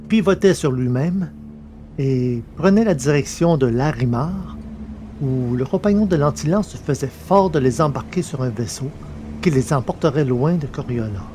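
A male narrator reads a science-fiction serial. His voice is mid-pitched, and his delivery is measured and literary, like scripted storytelling. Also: warm tone, slight background noise.

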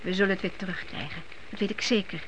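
A woman's voice: medium pitch, steady tone, fast, lightly acted. An actress playing a devout middle-aged mother of grown sons from biblical times in a radio play, earnest and motherly. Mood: earnest, reflective. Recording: noisy old radio play recording.

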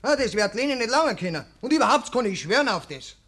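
An actor playing a brazen young village lad in a German radio play, defensive and self-justifying, in Bavarian dialect. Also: male voice, very high voice, sing-song, quick pace, sharp tone, breathy edge, full character voice. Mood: insistent. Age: young adult. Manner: hedging, defensive.

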